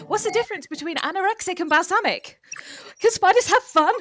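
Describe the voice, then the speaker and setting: A woman acts out a hapless female temp in a comedy audio play. Her voice is high and bright, recorded very dry, with a bemused way of talking. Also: animated, a little fast, no echo, soft background music. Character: hapless female office temp reading silly questions aloud. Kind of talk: improvised comedy audio drama.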